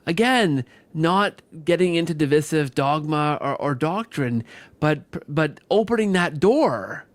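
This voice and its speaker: Lively-pitched man hosting, close dry sound. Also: measured pace, no echo. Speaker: podcast host. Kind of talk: conversational interviewing.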